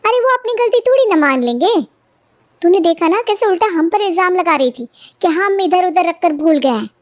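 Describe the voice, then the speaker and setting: A woman's voice: extremely high pitch, a bit bright, no echo, clean studio sound, exaggerated voice. A woman voicing an indignant young daughter-in-law in a cartoon family story, complaining insistently. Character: aggrieved, indignant young daughter-in-law, eager to expose wrongs.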